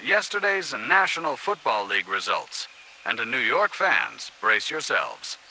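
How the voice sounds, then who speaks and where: A man's voice: mid-range voice, wildly swooping pitch, a little slow, bright, forceful tone, slight background noise, low-quality recording. A man with an American accent reading scripted news and sports as a 1950s radio host, with a dramatic, punchy announcer delivery.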